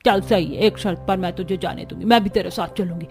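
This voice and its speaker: A woman's voice: fairly low pitch, a little fast, darkish tone, soft background music, natural voice. A woman voicing a controlling old grandmother-in-law who scolds and commands in a cartoon story. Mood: stern, scornful. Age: older. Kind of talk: Hindi cartoon story voice acting.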